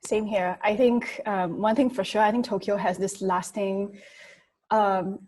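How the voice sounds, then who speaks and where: A woman's voice: medium pitch, fairly even intonation, measured pace, a little mellow. A woman moderating an online business panel, introducing guests and asking questions in a friendly way with many fillers.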